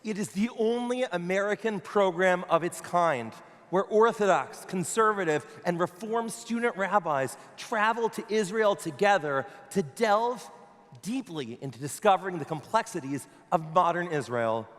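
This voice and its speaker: High-voiced man rabbi in an echoing hall. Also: lively intonation, moderate pace. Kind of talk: prepared speech to a conference. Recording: long reverb of a large hall.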